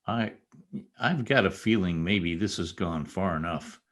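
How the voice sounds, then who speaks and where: A man's voice: deep voice, a little slow, fairly bright tone. A man playing a wry, easygoing newspaper reporter in a radio comedy, with a joking delivery. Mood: wry, doubtful. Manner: wry, joking, hesitant asides. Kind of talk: old-time radio comedy acting. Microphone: video call.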